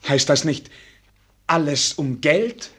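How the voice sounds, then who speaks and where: An actor playing a brooding, self-doubting prince in a German radio play, husky, reflective and stiffly formal. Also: male voice, fairly high pitch, animated, takes it slowly, a bit bright, exaggerated voice. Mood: questioning.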